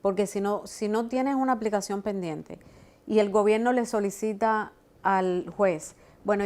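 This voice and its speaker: A woman's voice: medium pitch, a little mellow. A woman immigration lawyer explaining legal options in an interview, often affirming with short agreements. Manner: explanatory, affirming with repeated "exacto". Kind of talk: expert interview.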